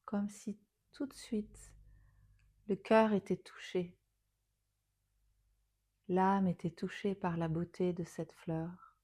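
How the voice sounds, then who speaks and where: Female meditation guide, lowish pitch, unhurried and soothing, phrases fading softly into breath. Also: fairly even intonation. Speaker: yoga nidra teacher.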